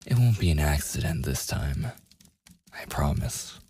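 Casting: male actor as taunting, possessive werewolf for ASMR roleplay. Extremely low register, husky and breathy, menacing. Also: expressive, a little mellow, full character voice. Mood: sardonic. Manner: slow, teasing, menacing. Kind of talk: boyfriend ASMR audio roleplay acting.

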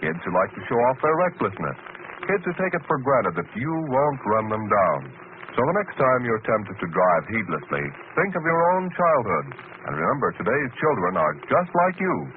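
A man's voice: on the low side, big pitch swings, normal speed. A man announcing in an emphatic, declamatory, scripted style of 1940s radio. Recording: tinny vintage radio broadcast with noise.

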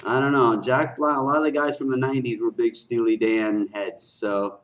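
A man hosting a music reaction video, giving casual, excited commentary in slangy, exclamatory bursts and often repeating his phrases. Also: medium pitch, normal speed. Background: loud music playing.

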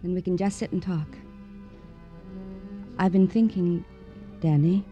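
A woman's voice: fairly low pitch, steady tone, a little slow, highs cut off, natural voice. A woman acting a lonely, intense young murder suspect with dramatic short phrases in 1950s radio melodrama. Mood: yearning. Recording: old radio drama with music behind.

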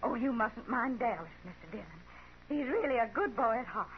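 A woman's voice: fairly high pitch, lively intonation, fairly quick, natural voice. A woman playing a talkative, meek old frontier mother in a radio Western, with a folksy rural American accent. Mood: anxious, pleading. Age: older. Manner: folksy, chatty, apologetic. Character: lonely, talkative old frontier mother, meekly devoted to her son. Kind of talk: 1950s radio Western acting. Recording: muffled, noisy 1950s radio recording.

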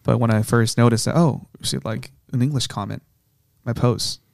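Casual: twenty-something japanese guy, polite and unsure, gravelly edge. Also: medium pitch, steady tone, moderate pace, dry recording, studio quality. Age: 20s. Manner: soft-spoken, hesitant, polite. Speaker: guest musician.

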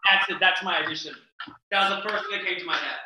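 A man's voice: high-pitched, fast, heavy reverb, a loud crowd, poor audio. A man with an Australian accent chatting casually as a podcast guest, telling personal stories in an emphatic, theatrical way. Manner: theatrical, emphatic, repeats words for effect. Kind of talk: casual chat, animated personal storytelling.

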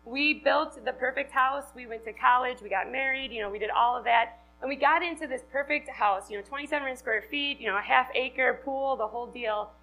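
A woman's voice: high voice, brisk, hardly any pauses, bright, forceful tone, slight background noise, poor audio. A woman in her thirties from Wisconsin with a Wisconsin accent, giving a chatty, upbeat talk to a live audience and laughing often. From Wisconsin, USA. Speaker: conference speaker.

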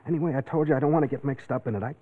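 An actor playing a terse, cynical, wisecracking young fugitive in an old-time radio drama. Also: male voice, medium pitch, racing, close to an everyday voice. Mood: wary. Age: young adult. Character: fast-talking, cynical young fugitive drifter. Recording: tinny, noisy vintage radio recording.

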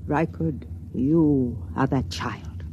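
An actress playing a secretive, tender older matron in an old-time radio drama, speaking in a deliberate, formal way. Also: female voice, rumbling low, warm tone, muffled recording, full character voice. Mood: secretive, probing. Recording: noisy vintage radio recording.

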